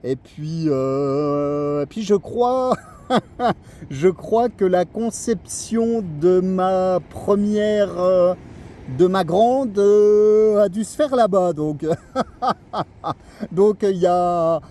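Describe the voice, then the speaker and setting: Middle-aged man, mellow, hesitant, casual radio chat, faint outdoor noise behind. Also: high voice, extremely slow. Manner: hesitant, searching for words. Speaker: amateur CB radio operator.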